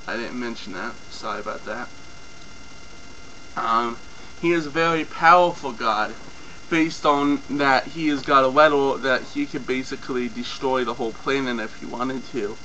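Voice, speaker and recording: A young man casually explaining a topic off the cuff, with a lot of ums and self-corrections, his voice a little hoarse from a cold. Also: mid-range voice, bright, forceful tone, poor audio. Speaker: mythology video host. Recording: faint mains hum.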